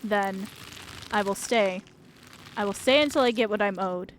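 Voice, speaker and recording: A woman's voice: medium pitch, unhurried, a little hiss or hum, natural voice. A woman voicing a vengeful, mournful male ghost in a video game, speaking in grave, accusing pronouncements. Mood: cold, menacing. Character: vengeful, mournful male ghost demanding repayment of stolen years.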